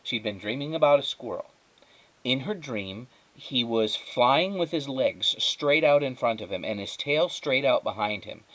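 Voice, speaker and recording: A man reading a children's story aloud as a teacher would, with dramatic, measured phrasing and emphatic pauses. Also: medium pitch, lively intonation, fairly bright tone, low-quality recording. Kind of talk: expressive children's storybook narration.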